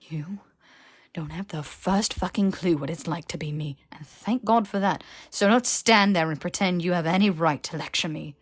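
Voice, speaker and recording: A melodramatic young nobleman wizard acted by a woman with a lowish voice and heavy emphasis. He is scornful and bitter, a proud aristocrat in a fantasy story reading. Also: moderate pace, husky. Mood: furious. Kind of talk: character voice in fan fiction audiobook.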